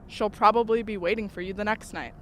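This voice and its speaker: A woman's voice: medium pitch, steady tone, fairly quick, a bit bright, natural voice. A woman playing a stern, insistent young goth occultist in an audio drama, earnest and ominous.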